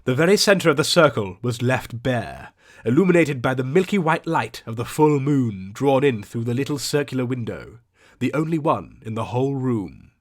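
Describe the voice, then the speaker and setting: Male narrator for a fantasy audiobook: medium pitch, ornate storytelling delivery, carefully measured phrasing. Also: lively intonation. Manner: theatrical, ornate, deliberate delivery.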